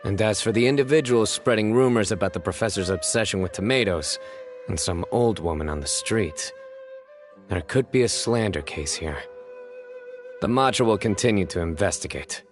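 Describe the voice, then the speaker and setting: An actor playing a stern, dry official who speaks bluntly, in a game scene with faint music. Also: male voice, fairly low pitch, lively intonation, moderate pace, close to an everyday voice.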